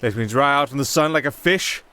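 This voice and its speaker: A man's voice: mid-range voice, a little fast, pushed and bright, clear, resonant tone, natural voice. A man acting a nervous, rambling young castaway musician with a sardonic streak, in a fantasy ASMR roleplay. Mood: wary, sardonic.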